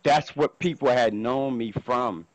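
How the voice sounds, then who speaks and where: A man's voice: mid-range voice, a little slow, lo-fi sound. A middle-aged man talks over a video call from a room at home, looping back over his own words. The sound is dry and close, with no room echo. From Philadelphia, USA. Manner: rambling, repeats himself. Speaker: guest musician. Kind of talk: casual interview. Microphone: video call with earphone headset.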